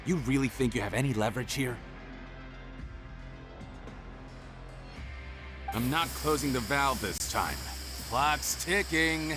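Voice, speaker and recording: A smug, scheming game villain, played by a man, theatrical and taunting. His pitch swings widely from word to word. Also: expressive, moderate pace, over music, close to an everyday voice. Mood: smug threatening. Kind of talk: video game voice acting.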